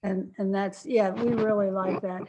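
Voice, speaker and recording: A woman's voice: mid-range voice, fairly even intonation, normal speed, dark tone, a little hiss or hum, poor audio. A woman in the audience of a video call, asking questions in a hesitant, chatty way. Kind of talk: casual chat.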